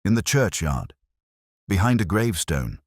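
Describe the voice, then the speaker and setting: Man acting male police sergeant, very low voice, dry sound, dutiful, hesitant, deferential, storybook mystery. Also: very animated, normal speed, darkish tone, breathy edge, no room sound, exaggerated voice. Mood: sheepish, hesitant.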